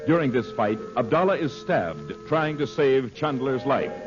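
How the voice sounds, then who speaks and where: A man's voice: mid-range voice, sing-song, a little slow. Vintage radio announcer, male, formal and dramatic scripted read, emphatic, muffled old broadcast sound with music.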